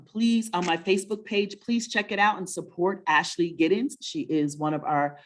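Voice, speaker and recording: A woman co-hosting a children's story webinar, chatting casually in a warm, encouraging, motivational way and often checking in with questions. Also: fairly low pitch, moderate pace.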